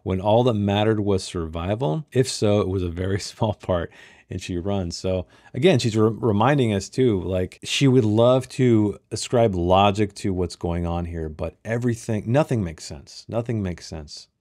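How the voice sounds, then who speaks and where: A man with a low, mellow voice leads a book read-along. The sound is dry and close. He moves between reading prose and casual, musing commentary, often posing rhetorical questions. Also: moderate pace, no room sound.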